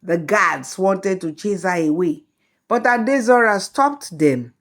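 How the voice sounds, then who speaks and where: A woman telling a folktale as scripted storybook narration, in a slow, measured way. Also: medium pitch, expressive.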